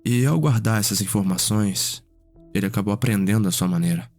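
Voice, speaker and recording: Low-voiced man as a reflective vampire storyteller. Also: a little fast, a little mellow. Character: Cedric, curious, reflective male vampire noble, younger brother. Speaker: storyteller, ASMR roleplay performer. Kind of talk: ASMR roleplay, in-character storytelling. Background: music.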